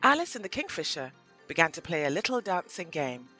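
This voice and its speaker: A woman tells a scripted story as an audio play narrator. Her intonation is lively and varied, and faint music sits behind her. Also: fairly low pitch, fairly quick.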